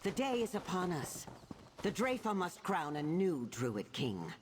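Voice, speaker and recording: An actress playing a fanatical, menacing archdruid in a video game, imperious and declamatory. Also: female voice, on the low side, very animated, measured pace, noisy background. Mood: accusing. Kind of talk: video game dialogue acting.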